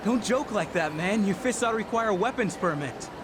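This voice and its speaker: Cheeky high-voiced man. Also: lively intonation, a little fast. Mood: cheeky, teasing. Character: cheeky, ingratiating civilian man, teasing a gangster. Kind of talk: video game cutscene acting. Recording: urban outdoor noise behind.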